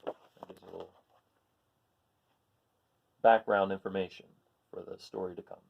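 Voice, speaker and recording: A man reading a children's picture book aloud expressively, with deliberate, dramatic phrasing and stressed words. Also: low-pitched, level tone, creaky, lo-fi sound. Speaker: storybook reader.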